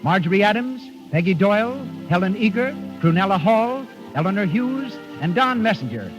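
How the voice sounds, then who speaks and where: A man's voice: sits high, animated, normal speed, over music. A man announcing and selling in polished, declamatory 1930s radio style. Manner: polished, declamatory sales delivery. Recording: dull, lo-fi 1930s radio broadcast.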